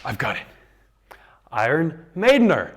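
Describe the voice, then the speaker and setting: A man's voice: fairly high pitch, huge pitch swings, measured pace. A man acting a bossy, earnest young rock band member in a sitcom table read. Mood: bossy, defensive.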